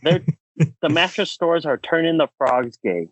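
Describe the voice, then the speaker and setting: A man's voice: fairly high pitch, lively intonation, moderate pace, fairly bright tone, dry recording, poor audio. A man hosting a casual podcast, bantering with playful, mock-outraged showmanship. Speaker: podcast host.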